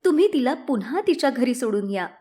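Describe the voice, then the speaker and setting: A younger storybook queen, acted by a woman narrator, with a very high voice. She sounds anxious and deferential, pleading as she backs up her elder. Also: sing-song, brisk, warm tone. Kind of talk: storybook character acting.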